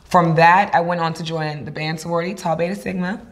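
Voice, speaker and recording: A woman's voice: on the low side, fairly even intonation, brisk. Chatty young woman dance instructor. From United States, raised by Ghanaian parents. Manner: upbeat, chatty, storytelling with filler words. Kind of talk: casual interview.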